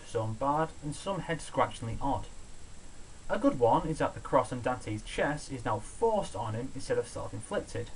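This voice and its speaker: A man's voice: medium pitch, poor audio. A man reading a scripted countdown review in a sardonic, opinionated, sweary manner. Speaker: video reviewer, host of a countdown show. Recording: steady background hiss, amateur home recording.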